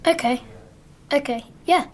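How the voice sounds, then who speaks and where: An actress playing a blunt, defiant but loyal young child in a naturalistic radio drama. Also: female voice, high-pitched, unhurried, a little hiss or hum. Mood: matter-of-fact.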